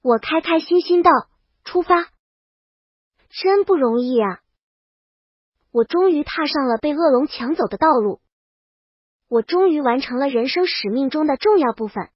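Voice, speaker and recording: Casting: female audiobook narrator, high voice, brash comic storytelling in the first person, dry and slightly dull sound. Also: animated, moderate pace, long pauses, dry recording.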